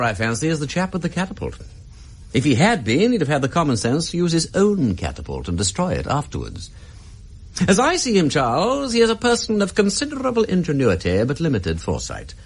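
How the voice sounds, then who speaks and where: An urbane upper-class English gentleman sleuth for radio drama. The voice is husky and hugely animated, rising and dropping within a sentence. His manner is languid, witty and erudite. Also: a little high, exaggerated voice.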